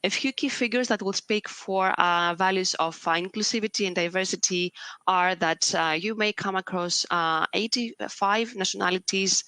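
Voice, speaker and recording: A woman's voice: medium pitch, sharp tone, no room sound, low-quality recording. A woman with a Greek accent presenting a corporate career webcast, fluent with frequent filler sounds. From Greece.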